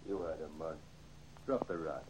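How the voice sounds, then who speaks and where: An actor playing a cool, threatening gangster in an old radio crime drama. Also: male voice, extremely low pitch, steady tone, normal speed, darkish tone. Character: cool, threatening male gangster, friend of a dead robber. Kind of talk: 1940s radio crime acting. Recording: faintly noisy old radio recording.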